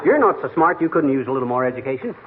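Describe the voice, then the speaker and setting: A man's voice: mid-range voice, animated, very fast, lightly acted. A man playing a boastful, folksy, slangy middle-aged husband and schemer in 1940s radio comedy, on an old radio recording. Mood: blustering. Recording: tinny, noisy old radio recording, studio audience.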